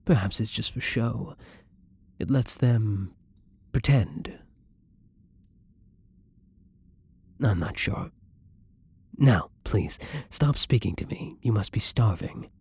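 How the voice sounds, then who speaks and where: A man playing a gentle, wistful, newly turned vampire in an intimate ASMR roleplay, soft-spoken and hushed, often slipping toward a whisper. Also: lowish voice, lively intonation, slow, exaggerated voice. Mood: wistful, bitter, then envious, gentle. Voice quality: breathy, gravelly. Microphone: close microphone.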